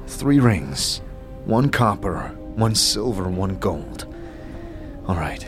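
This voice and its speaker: A man playing a wary, reluctant adventurer in an audio drama, blunt but halting and stammering. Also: low-pitched, big pitch swings, music in the background, heavily acted. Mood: wary, puzzled.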